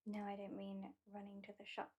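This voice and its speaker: A British woman voicing a haughty female vampire who claims the listener as a pet, speaking slowly in a soft, teasing, condescending way that often drops to a whisper, close to the microphone. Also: medium pitch, monotone, lightly acted. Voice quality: soft and breathy. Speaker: ASMR roleplay performer. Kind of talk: ASMR roleplay.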